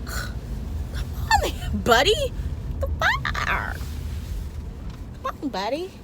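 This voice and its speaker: A young woman vlogging a casual, chatty storytime from a car, animated and rambling, often interrupting herself. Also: very high-pitched, drawn-out words. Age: young adult. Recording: noisy car interior with traffic hum.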